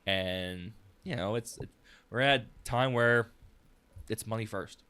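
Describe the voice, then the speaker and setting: A man's voice: lowish voice, a little slow, pushed and bright, clean tone. A man hosting a casual discussion in an opinionated, emphatic, sweary manner. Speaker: podcast host steering the discussion. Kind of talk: casual chat.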